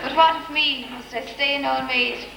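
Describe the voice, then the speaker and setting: A woman playing a sweet, stately fairy queen, declaiming measured verse in an amateur stage pantomime. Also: high-pitched, steady tone, vocal fry. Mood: sweetly declaiming. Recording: echoey hall, distant, noisy.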